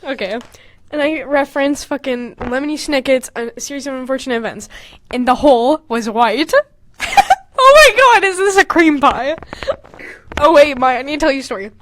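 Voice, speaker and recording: A young woman hosting a podcast, casually reading aloud and mocking her own writing in an animated, sweary, giggly way. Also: high-pitched, normal speed, slight background noise. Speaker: podcast host. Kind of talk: casual comedic commentary while reading own old fanfiction aloud.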